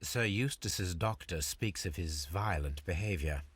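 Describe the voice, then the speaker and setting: A deep-voiced, composed detective in a video game. He reasons aloud in precise, formal sentences, with a husky grain to the voice. The recording is close and dry. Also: unhurried, fairly bright tone, no echo, heavily acted. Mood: composed, analytical. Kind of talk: video game voice acting, deliberate deduction.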